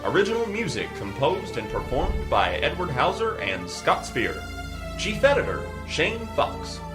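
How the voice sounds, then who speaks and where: A man's voice: on the high side, huge pitch swings, a little slow, music in the background. A man reading scripted end credits for an audio drama in an emphatic announcer's manner.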